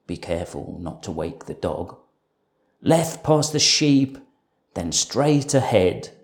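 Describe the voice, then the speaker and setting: A man voicing crafty robbers from a children's storybook, plotting in a furtive, deliberate way. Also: lowish voice, darkish tone. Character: two crafty male robbers plotting a cow theft. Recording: roomy, echoing room sound.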